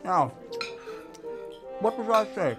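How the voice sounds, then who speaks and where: A man's voice: high-pitched, lively intonation, takes it slowly, over music. A man acting a fussy, pompous, gossipy café customer in an audio drama. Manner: particular, pompous. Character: fussy, grumbling, gossipy male café regular.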